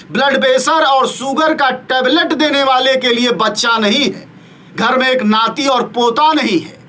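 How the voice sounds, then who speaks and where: A man's voice: very high-pitched, pushed and bright. A man delivering spoken opinion commentary as a news commentator, pressing pointed rhetorical questions with a sarcastic, scornful edge.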